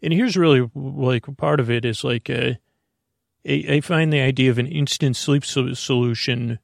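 Creaky-voiced man rambling gently at bedtime. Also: no echo, clean studio sound. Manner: meandering, halting, often trailing off into tangents; mildly whimsical, low-key silliness. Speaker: podcast host. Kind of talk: rambling, unscripted bedtime sleep podcast intro.

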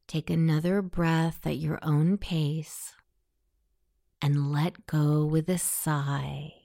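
Slow, low-voiced woman guiding meditation. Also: level tone, no echo, studio quality. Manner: soothing, softly breathy delivery. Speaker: hypnosis meditation guide. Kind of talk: scripted guided meditation.

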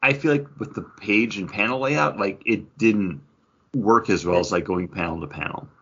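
A man chats casually and offers opinions as a podcast co-host. His voice is husky and on the low side. The recording is a bit dull and lo-fi. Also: normal speed, pushed and bright. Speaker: podcast co-host reviewing comic books.

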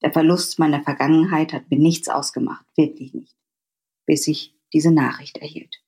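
A woman's voice: low voice, steady tone, moderate pace. A woman author reading aloud from her own novel, in scripted first-person literary narration.

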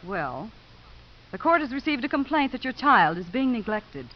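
A woman's voice: fairly high pitch, very animated, normal speed, a little hiss or hum. An actress playing a formal, precise woman investigator in a scripted 1950s radio drama. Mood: prim, official. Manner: precise, crisp, official. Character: prim, formal female court child-welfare investigator. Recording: muffled old 1950s radio recording.

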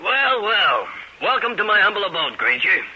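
Raspy, high-pitched jaunty jailed cabbie. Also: male voice, sing-song, moderate pace, heavily acted. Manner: theatrically flowery wording. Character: jaunty, mock-formal male cab driver in jail. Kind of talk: old-time radio drama acting. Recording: dull old radio recording.

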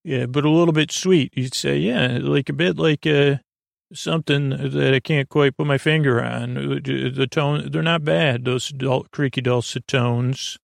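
A man with a creaky voice hosting a podcast as a soothing, gently whimsical bedtime monologue, meandering and hesitant, with many tangents and pauses. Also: medium pitch, fairly even intonation, no echo, studio quality. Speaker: podcast host.

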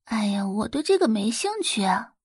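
A woman's voice: mid-range voice, very animated, moderate pace, darkish tone, breathy edge, dry recording, clean studio sound. A woman voicing a proud, curious young daughter of a rich family in an audio drama, exclaiming and questioning. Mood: indifferent.

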